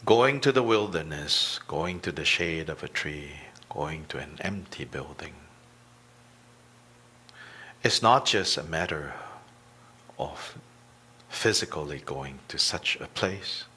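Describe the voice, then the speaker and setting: A man teaching a guided meditation, speaking deliberately in short phrases with long pauses between them. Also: extremely low pitch, expressive, bright, forceful tone, slightly rough.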